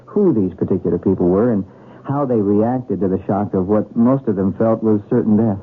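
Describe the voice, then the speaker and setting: An actor playing a polite, earnest young graduate student in a radio drama, deferential and precise, with a husky voice. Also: male voice, lowish voice, normal speed, slight background noise, lightly acted. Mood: scholarly, deferential. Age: young adult. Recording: tinny, narrowband old radio broadcast.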